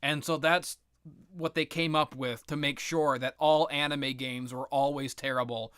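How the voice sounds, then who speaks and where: A man giving casual, rambling, opinionated video game commentary with sarcastic, profane ranting and a halting, repetitive delivery. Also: a little high, fairly even intonation, a bit bright, clear, resonant tone, no room sound, clean studio sound. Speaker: video game reviewer and host.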